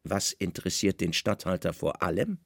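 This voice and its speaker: Radio drama role: secret-service chief of ancient Rome played by a man, sly, genial, deferential, careful speech, creaky low-key delivery. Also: very animated, moderate pace, no room sound, studio quality, exaggerated voice. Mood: deferential, assuring. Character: sly, good-natured, deferential Roman spymaster guest.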